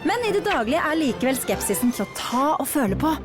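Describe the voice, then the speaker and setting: A woman's voice: sits high, sing-song, fast talker. Wry woman narrator over music. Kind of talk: scripted documentary narration. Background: music bed.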